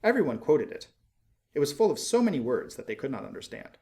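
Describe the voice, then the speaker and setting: Guy reading a story out loud, mid voice that swings up and down a lot, measured storyteller delivery. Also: mid-range voice, big pitch swings, creaky. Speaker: audiobook reader. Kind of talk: scripted storybook narration.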